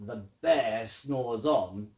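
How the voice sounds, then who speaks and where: A woman hosting a children's story time, chatting casually and reading aloud in a playful, expressive way. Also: a bass voice, very slow. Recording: roomy, slightly dull home recording.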